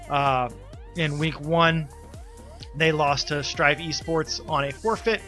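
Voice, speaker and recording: A man with a stuffy, congested voice gives a loose, halting recap as league organizer, with many ums. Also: a little high, pushed and bright. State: congested, coming down with a cold. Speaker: league organizer and team player. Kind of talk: casual spoken recap.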